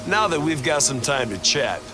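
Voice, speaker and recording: An actor playing a sardonic, reluctant ex-soldier hero in a video game, with a dry, grumbling, sarcastic delivery. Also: male voice, a little high, sing-song, normal speed, over music. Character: sardonic, reluctant ex-special-forces action hero. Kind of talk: video game cutscene voice acting.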